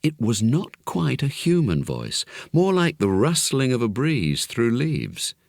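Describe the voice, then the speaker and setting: Lively, creaky male storyteller. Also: mid-range voice, takes it slowly, dry recording, clean studio sound. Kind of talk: scripted children's story narration.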